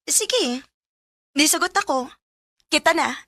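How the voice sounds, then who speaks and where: A woman's voice: high voice, lively intonation, slow, sharp tone, dry recording, clean studio sound, full character voice. A woman with a Cebuano Bisaya Filipino accent playing a caring young girlfriend in a radio drama. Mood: shy, pleased.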